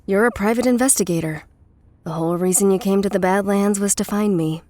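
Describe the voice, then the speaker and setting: A woman playing a guarded, intense runaway from a cult family in a horror audio drama, speaking with deliberate care. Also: mid-range voice, a little mellow, lightly acted. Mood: challenging. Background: music.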